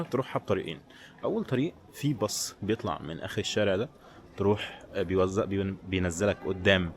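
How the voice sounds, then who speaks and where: A man with an Egyptian Arabic accent giving casual, chatty travel commentary straight to his viewers while walking. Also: low voice. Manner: chatty, addresses viewers directly. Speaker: travel vlogger. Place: outdoors, walking in streets and a village. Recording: noisy outdoor street and traffic sound.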